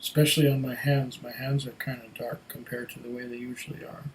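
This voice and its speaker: A man's voice: medium pitch, little pitch movement, brisk, a little hiss or hum, rough recording. A man with a congested voice talks casually to his camera at home. He sounds tired and rambles with fillers between his thoughts. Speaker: whisky reviewer. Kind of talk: casual vlog chat.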